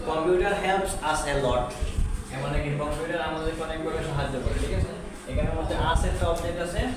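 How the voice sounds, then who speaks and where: A man with a Bangladeshi accent teaching a class, explaining step by step, often asking questions and answering them himself. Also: a little high. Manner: didactic, asks and answers own questions, frequent check-in tags. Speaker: English grammar teacher. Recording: echoey room, distant mic, loud background noise.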